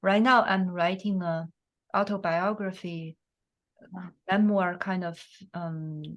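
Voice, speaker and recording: A woman from China whose first language is Chinese, hosting a reflective conversation, speaking slowly and haltingly with many fillers. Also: fairly low pitch, fairly even intonation. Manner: slow, halting, soft-spoken with frequent fillers and laughs. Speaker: host.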